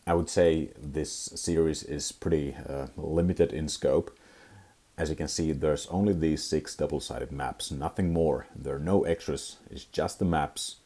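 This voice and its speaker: A young Finnish man with a Finnish accent, hosting a casual hobby review vlog, talking in a halting way with many fillers and restarts. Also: a bass voice, fairly even intonation, mellow. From Finland. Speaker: tabletop gaming channel host.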